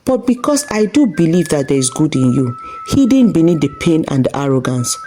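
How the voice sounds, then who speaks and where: A woman voicing a kind, wise young village woman in a folktale, speaking gently and with compassion. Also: sits low, very animated, fairly quick, a little hiss or hum. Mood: gentle, resolute.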